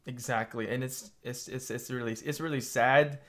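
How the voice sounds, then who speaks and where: A man's voice: medium pitch, steady tone, normal speed, a bit bright. A man hosting a casual gaming talk, reflective, repeating words as he thinks and chuckling now and then. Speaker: channel host. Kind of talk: casual chat.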